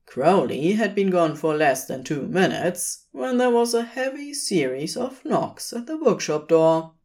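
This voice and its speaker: A woman's voice: fairly low pitch, a little mellow. A woman narrating a scripted story expressively, as a fiction reader. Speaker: podfic reader.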